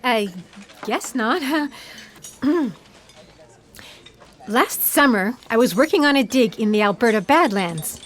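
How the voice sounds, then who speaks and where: A woman's voice: a little high, animated, takes it slowly, pauses often, slight background noise. An actress playing a nervous, self-doubting young woman scientist in sci-fi audio theatre, hesitant and self-conscious. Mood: nervous, eager.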